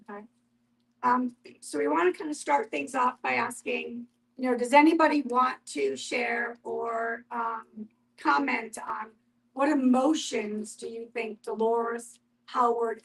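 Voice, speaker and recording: A woman's voice: a little high, fairly bright tone, low-quality recording. A woman facilitating a group discussion in a conversational way, putting questions to the group and inviting responses.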